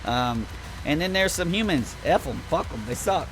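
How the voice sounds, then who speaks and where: A man's voice: a little high, lively intonation, moderate pace, rough recording. Blunt, rambling man reviewing a game, music behind. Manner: rambling, blunt, griping, sweary. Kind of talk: unscripted casual game review commentary.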